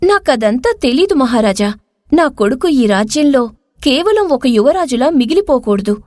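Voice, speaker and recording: Woman playing a high-pitched, imperious, stubborn queen. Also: sing-song, moderate pace, a little mellow, no echo, clean studio sound. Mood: demanding, defiant. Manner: insistent, imperious. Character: stubborn, ambitious younger queen and mother. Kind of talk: dramatized moral story dialogue.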